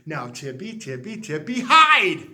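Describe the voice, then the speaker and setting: A man reading a children's picture book aloud, expressive and dropping to a hush on the refrains. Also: fairly high pitch, a little slow. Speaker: storyteller.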